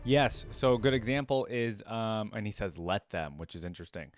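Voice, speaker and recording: A man's voice: lowish voice, animated, normal speed, dry recording, clean studio sound, faint music behind. A man hosting a reaction video, giving casual spoken commentary.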